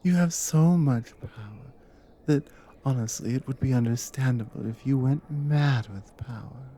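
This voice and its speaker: A woman playing a devoted, dominant Nordic warrior wife in an intimate ASMR audio roleplay, speaking softly and earnestly, often dropping to a whisper. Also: very deep voice, a little hiss or hum. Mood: earnest, admiring. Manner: soft, hushed, often breathy whispering, slow and earnest.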